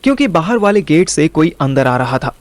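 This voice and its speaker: Animated higher-pitched male storyteller. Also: brisk, no room sound. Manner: colloquial, dramatic storytelling, Hindi-English slang. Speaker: narrator and host. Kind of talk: first-person audio story narration.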